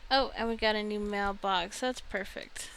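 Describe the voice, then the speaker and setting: A woman's voice: medium pitch, fairly even intonation, normal speed, gravelly, a bit bright, a little hiss or hum, poor audio. A woman giving casual video game commentary, chatting and thinking aloud as she reacts to what she finds. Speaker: video game streamer and host.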